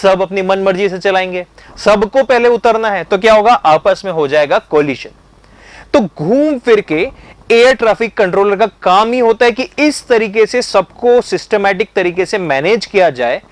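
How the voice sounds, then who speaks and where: A man's voice: high-pitched, a little slow, no room sound. A man with an Indian accent giving an explanatory lesson as an instructor, going step by step and mixing in English terms. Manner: methodical, explains step by step, repeats check phrases; mixes English terms into speech.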